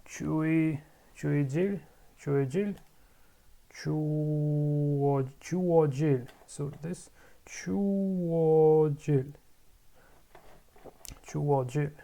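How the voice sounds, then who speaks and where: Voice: medium pitch, moderate pace, vocal fry, pauses often. A language learner in a casual vlog, repeating words over and over, correcting themself and muttering. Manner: muttering to self, bursts of excitement. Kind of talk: casual pronunciation practice vlog.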